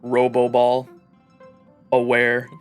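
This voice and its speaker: A man's voice: medium pitch, completely flat delivery, slow, clean tone. A man livestreaming a game, chatting casually with viewers in slangy, jokey, profane talk. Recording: game music under the voice. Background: game music.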